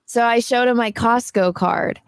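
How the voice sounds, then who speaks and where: A woman's voice: fairly high pitch, unhurried, vocal fry, clear, resonant tone, dry recording. Chatty young woman telling a story. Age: 20s. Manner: chatty storyteller, quotes others, laughs often. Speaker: guest. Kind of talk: casual panel chat.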